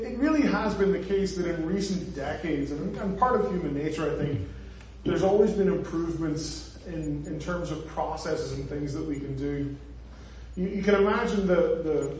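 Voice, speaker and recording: Northern Irish preacher in an echoey hall. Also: male voice, on the high side, darkish tone, a little hiss or hum. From Northern Ireland. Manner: chatty and joking, with asides to the congregation. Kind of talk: conversational sermon. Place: church hall. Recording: echoey hall, far from the mic.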